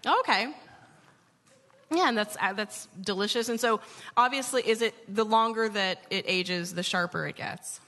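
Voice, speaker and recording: A woman hosting a conversational live interview, asking questions in a tentative, halting way. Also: mid-range voice, pushed and bright. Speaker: radio show host interviewing. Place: large hall, live radio show. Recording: reverberant live hall.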